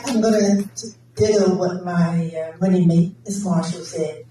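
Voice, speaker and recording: Council member just talking it through, mellow flat voice, far off in an echoey room. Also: medium pitch, unhurried. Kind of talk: candidate forum answer. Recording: echoey hall, distant mic, faint noise.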